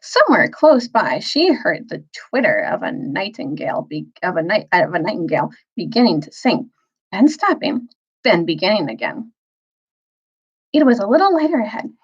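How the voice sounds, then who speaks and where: A woman reading a children's novel aloud as a storyteller, phrasing carefully with dramatic pauses and emphasis. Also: medium pitch, very animated, breathy edge, muffled recording.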